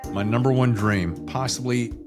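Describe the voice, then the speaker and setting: A man's voice: medium pitch, normal speed, music in the background. A man hosting a podcast in a casual, chatty style, with a folksy, effusive showman's way of talking. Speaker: podcast host.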